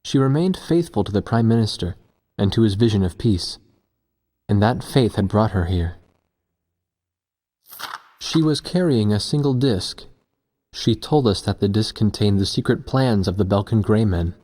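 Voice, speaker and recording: A man playing a sober, reflective pilot who narrates a war story in a measured way for a video game. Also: fairly low pitch, animated, mellow, lightly acted. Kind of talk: scripted narration.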